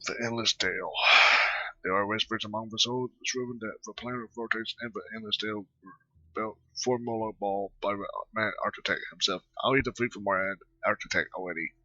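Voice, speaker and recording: A man's voice: fairly low pitch, steady tone, a little fast, bright, forceful tone, no echo, muffled recording. A man doing a casual game playthrough, reading dialogue choices aloud and commenting on them. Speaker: gamer playing through a story.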